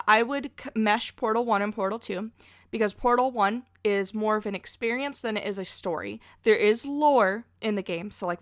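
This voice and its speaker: A woman talking casually as a podcast guest, chatty and opinionated, quick to put herself down. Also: medium pitch, fairly bright tone, no room sound, studio quality.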